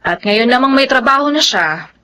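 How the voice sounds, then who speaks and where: A woman's voice: high voice, animated, normal speed, sharp tone. A woman playing a worried, exasperated wife and mother in a radio drama, emotional and fretful.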